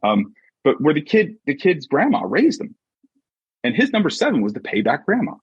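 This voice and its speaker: A husky-voiced man giving an emphatic, conversational motivational talk as a coach and podcast guest, often checking in with short rhetorical questions. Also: on the high side, wildly swooping pitch, moderate pace, no room sound. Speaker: podcast guest, sports mindset coach and author. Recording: dull, muffled lo-fi audio.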